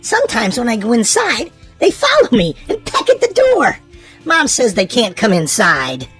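A high-pitched woman narrates a children's storybook with hugely swooping intonation. Her voice is very husky and breathy. She stresses words in a sing-song, expressive read-aloud style for small kids. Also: moderate pace, soft background music.

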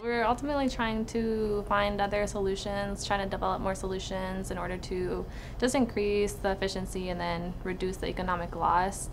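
A woman's voice: medium pitch, fairly even intonation, fairly quick, music in the background. A woman in her twenties from Texas, a biology student talking informally about research in an interview. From Texas, United States. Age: 20s. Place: indoors in a laboratory.

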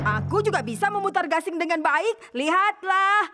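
Lady doing a bratty show-off raccoon boy, really high voice, cartoon dub. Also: lively intonation, moderate pace, music in the background. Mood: boastful. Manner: show-off, boastful, self-assured. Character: boastful young raccoon-dog boy, rich and spoiled.

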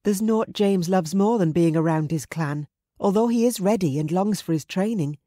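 A protective woman as acted by an audiobook narrator, her voice lifted high. She speaks earnestly in old-fashioned dialect, like a mother in a historical romance, recorded close and dry. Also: normal speed, warm tone, no echo, natural voice. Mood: fond, wistful. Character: anxious Scottish Highland woman, protective mother.

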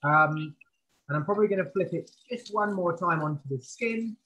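A male chef gives a live cooking demonstration over a video call. He explains things informally and clearly step by step, standing back from the camera in a professional kitchen. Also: sits high, normal speed, bright, forceful tone, low-quality recording.

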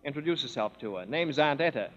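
A man's voice: mid-range voice, expressive, fast, fairly bright tone. An actor playing a friendly, earnest young male composer in a 1930s radio play, easygoing and polite. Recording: dull old radio broadcast with long hall reverb.

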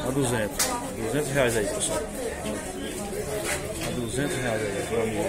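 Man shouting prices at a busy animal market. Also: fairly low pitch, normal speed. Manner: calls out prices loudly to viewers. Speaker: vlogger touring an animal market. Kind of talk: walking vlog commentary. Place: outdoor livestock market. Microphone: handheld phone camera. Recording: loud crowd and bleating animals behind.

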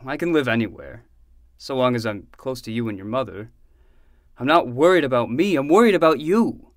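An actor playing a retired, fussy but affectionate older uncle in a fiction podcast. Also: male voice, mid-range voice, no room sound, studio quality, close to an everyday voice.

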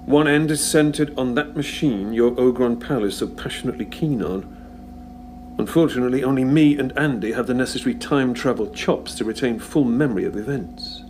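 An actor playing a wry, reflective time-travelling hero in an audio drama, speaking conversationally and articulately. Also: male voice, mid-range voice, brisk, darkish tone, a little hiss or hum, lightly acted, rough recording. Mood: explanatory.

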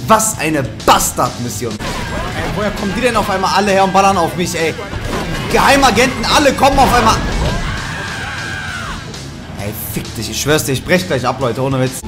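A man's voice: high-pitched, very animated, normal speed, pauses often. A man in his twenties ranting and cursing as he plays and comments for his viewers, recorded at home on a studio microphone. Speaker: gaming YouTuber. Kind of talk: angry let's-play commentary. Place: small room at home. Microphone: studio mic on arm with headphones. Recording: game music loud behind voice.

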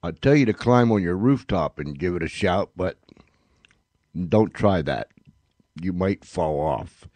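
Low-voiced male radio host, dry sound. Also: lively intonation, unhurried, pauses often, darkish tone, no room sound. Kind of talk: folksy show announcing and sign-off.

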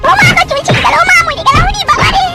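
A woman's voice: extremely high pitch, rapid-fire, over loud music. A woman voicing an aggrieved, plaintive village wife in a comedy cartoon. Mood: wailing in pain. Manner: plaintive, nagging.

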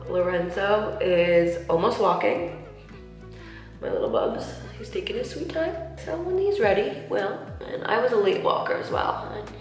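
A woman in her thirties chatting through a makeup tutorial, slightly nasal from a cold, with lots of "like" and soft asides. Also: mid-range voice. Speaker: beauty vlogger. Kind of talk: casual makeup tutorial chat. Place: large tiled bathroom, indoors. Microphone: camera mic at close range, seated facing camera. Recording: echoey bathroom with faint music.

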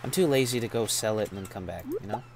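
A man's voice: lowish voice, fast, slight background noise. A man casually commenting while playing a farming game, laid-back and rambling, often calling people "man". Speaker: gameplay streamer. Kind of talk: casual let's-play commentary.

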